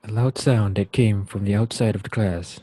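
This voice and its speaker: A man's voice: on the low side, steady tone, moderate pace, warm tone, no echo. A young man, a student, reading scripted narration for a reader's theater piece. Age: young adult.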